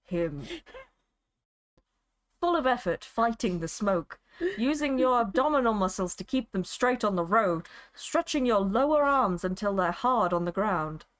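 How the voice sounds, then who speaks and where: A woman's voice: mid-range voice, expressive, measured pace. A woman reading a story aloud in a theatrical, actorly dramatic style, as a streamer would. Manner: actorly, breaking into amusement at the absurd text.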